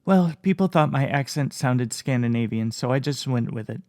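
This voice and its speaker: A man reading as a wry, gentle female elf. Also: mid-range voice, expressive, moderate pace, no room sound, studio quality, lightly acted. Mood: amused. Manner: soft, lightly amused.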